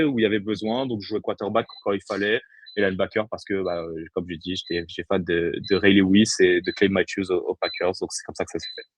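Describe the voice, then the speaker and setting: A man in his twenties from the Paris region talks casually as an interview guest. His voice is on the low side. The sound is dry, with hardly any room in it. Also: husky, no room sound. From Paris region, France. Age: 20s. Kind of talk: casual chat.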